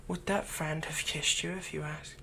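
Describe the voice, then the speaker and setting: A man playing a possessive young fantasy villain in an ASMR roleplay, tenderly soothing a captive in a soft voice that often turns breathy. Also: fairly high pitch, little pitch movement, moderate pace, a little hiss or hum, heavily acted. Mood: intimate, coaxing. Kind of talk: ASMR roleplay, intimate scripted monologue.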